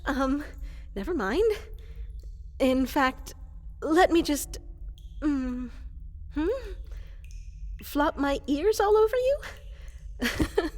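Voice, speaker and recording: A woman's voice: high-pitched, animated, drawn-out words, pauses often, exaggerated voice. A woman playing a fluffy, woolly llama-like creature in a fantasy roleplay, eager to serve as an evil advisor, speaking with flustered, hesitant politeness. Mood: coy, pleased. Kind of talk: roleplay ASMR character acting. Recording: long reverberant hall echo.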